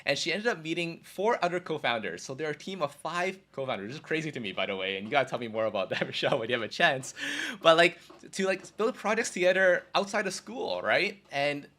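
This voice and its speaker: A man's voice: on the high side, animated, fast talker, pushed and bright. A man hosting a podcast interview over a video call, upbeat and chatty, often tagging lines with "right?" and "you know". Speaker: podcast host. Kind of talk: enthusiastic interview introduction.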